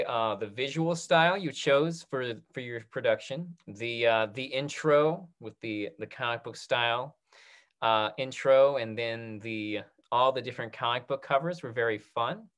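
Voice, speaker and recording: A man's voice: mid-range voice, normal speed, a bit bright. A man in his thirties casually hosting a question-and-answer panel over a video call. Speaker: panel host. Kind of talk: casual moderated Q&A. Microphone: video call microphone.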